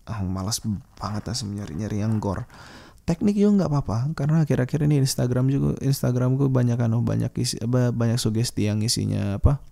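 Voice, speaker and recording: A man's voice: on the low side, gravelly, dark tone. A man hosting a solo podcast, talking in a casual, slangy, rambling way and thinking aloud with hesitations.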